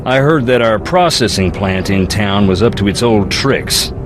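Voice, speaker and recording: An actor playing an aggrieved, rough-edged young man in a video game, talking in a folksy, plain-spoken way. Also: lowish voice, lively intonation, unhurried, music in the background. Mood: wry, resentful. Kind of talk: video game character dialogue.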